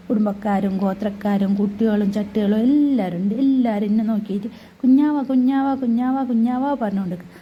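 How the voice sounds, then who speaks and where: A woman's voice: a little high, muffled recording, slight background noise, natural voice. A woman voicing a chatty, cheeky newborn baby in a family video voice-over, talking in a sing-song, cooing way with teasing asides.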